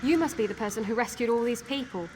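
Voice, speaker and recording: An actress playing an earnest, hesitant, war-weary mage survivor in a fantasy video game. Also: female voice, a little high, fast talker, noisy background, natural voice. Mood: weary, grateful. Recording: long hall reverb.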